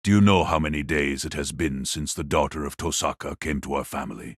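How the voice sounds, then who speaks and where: Casting: ancient villainous mage, extremely low raspy voice, gloating and sinister, dramatised audiobook. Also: male voice, no echo, studio quality. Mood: mocking, cold. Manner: slow, sinister, condescending. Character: wicked old male magus patriarch, cold, mocking, gloating.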